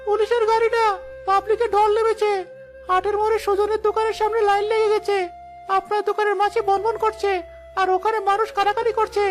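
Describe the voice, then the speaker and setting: A man voicing an excitable, fawning henchman in a cartoon, with an eager, deferential manner. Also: very high-pitched, fast, music in the background. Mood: alarmed. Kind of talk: animated cartoon dialogue.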